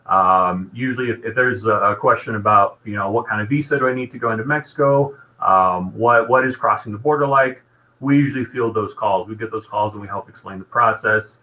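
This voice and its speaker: A man's voice: on the low side, fairly quick, sharp tone, poor audio. A man giving an informational presentation about his program in an explanatory, businesslike manner.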